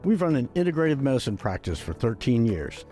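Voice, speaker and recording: Lively man reading a show intro. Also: mid-range voice, brisk. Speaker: podcast co-host. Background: faint music.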